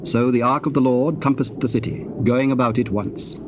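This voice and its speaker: A man narrating a dramatized scripture, formal and measured in his storytelling. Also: medium pitch, fairly even intonation. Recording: dull old cassette, faint noise.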